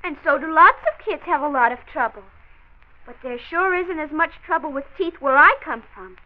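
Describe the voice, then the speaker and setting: A woman's voice: high voice, moderate pace, highs cut off, close to an everyday voice. A woman playing a precocious twelve-year-old granddaughter in a 1940s radio drama, pert and argumentative, reciting facts formally like a debater. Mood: confident, reciting. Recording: band-limited old 1940s radio recording.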